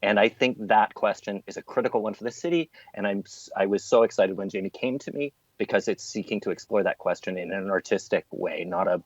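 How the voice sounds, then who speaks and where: A man's voice: lowish voice, a little fast, hardly any pauses. A man being interviewed as a theatre artist, talking in an earnest, discursive way with emphatic repetition. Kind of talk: thoughtful interview talk. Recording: dull, roomy, lo-fi sound.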